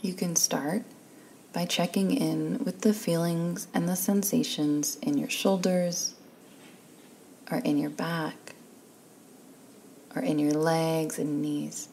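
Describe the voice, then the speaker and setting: A woman leading a guided meditation in a soft, breathy, calm and soothing voice, leaving long pauses between phrases. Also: sits low, a little hiss or hum. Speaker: guided relaxation instructor.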